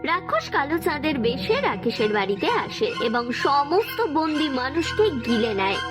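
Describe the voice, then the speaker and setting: A woman reading a scripted narration for an animated story. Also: sits high, moderate pace. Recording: music under the voice. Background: music.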